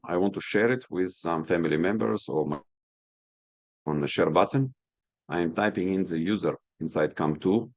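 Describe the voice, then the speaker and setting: A man's voice: deep voice, creaky, darkish tone, no echo. A man presenting a product demonstration as a trainer, explaining each step in an instructional way. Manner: step-by-step, instructional, checking understanding.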